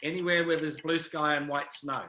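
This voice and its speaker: A man's voice: a little high, fairly even intonation, moderate pace, bright, forceful tone, a little hiss or hum. A New Zealand man in his fifties, giving short, dry, good-humoured interview answers with hesitant fillers. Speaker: interview guest, travel sales manager.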